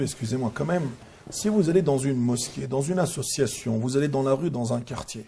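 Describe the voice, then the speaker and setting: A male interviewer with a gravelly, slightly husky voice. His tone is dark, and he presses his guest with insistent, probing questions. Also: mid-range voice.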